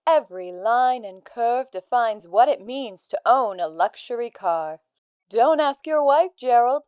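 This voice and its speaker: A woman's voice: a little high, expressive, unhurried, darkish tone, clean tone, no room sound, dull audio. A woman playing a sinister, coaxing radio advertising announcer with a polished, seductive sales manner, in a radio play. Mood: smoothly enticing. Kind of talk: mid-century radio commercial delivery.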